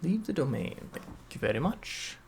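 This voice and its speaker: Creaky-voiced male game streamer. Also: on the high side, big pitch swings, moderate pace, a little mellow, slight background noise. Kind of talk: casual live gameplay commentary.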